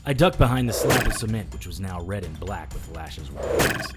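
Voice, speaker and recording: An actor playing a battered, wry private investigator, narrating in the first person in an audio drama. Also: male voice, sits low, animated, moderate pace, over loud music. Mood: urgent narration. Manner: dry, hard-boiled.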